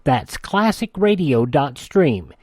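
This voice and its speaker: A man's voice: fairly high pitch, big pitch swings, takes it slowly, darkish tone, dry recording, clean studio sound. A man announcing a radio show with scripted narration.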